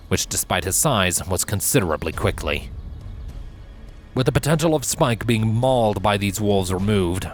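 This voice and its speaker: A man reading a fantasy story as narrator, in an expressive, measured way with emphatic drawn-out phrases. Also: on the low side. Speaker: fan fiction narrator. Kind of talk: scripted dramatic story narration. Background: background music under the voice.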